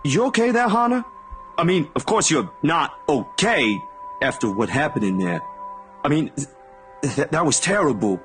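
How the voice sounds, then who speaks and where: A man's voice: on the high side, sing-song, moderate pace, a bit bright. An awkward, kind, laid-back guy. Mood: concerned. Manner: informal, slangy, slightly hesitant. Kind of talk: visual novel voice acting. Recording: music playing underneath. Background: game music.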